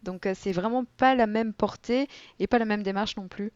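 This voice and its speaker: A young woman guest telling stories and explaining her work in a relaxed podcast interview, with animated storytelling. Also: mid-range voice, moderate pace, no echo. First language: French. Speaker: guest, board game content creator. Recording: dull, dry lo-fi audio.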